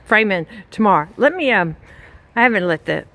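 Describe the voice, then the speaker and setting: A woman's voice: mid-range voice, sing-song, brisk, bright, forceful tone. Folksy Southern woman vlogging on her farm. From Texas. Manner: chatty, folksy, many fillers and restarts. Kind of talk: casual vlog narration. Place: outdoors on a rural farm, by a chicken pen. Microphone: handheld phone camera.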